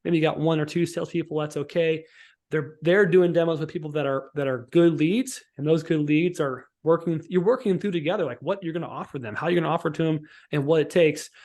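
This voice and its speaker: A man giving an informal, instructional software walkthrough as a sales trainer on a live call, often checking that listeners follow. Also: fairly high pitch, brisk. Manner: informal, instructional, checks for understanding with tags like "right?" and "okay?". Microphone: online group call.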